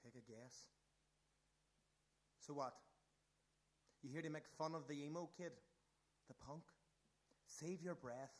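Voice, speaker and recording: Guarded, sardonic teenage punk. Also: male voice, a little high, slow pace, pauses often. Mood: defensive, wary. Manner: dry, defensive. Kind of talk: radio play acting.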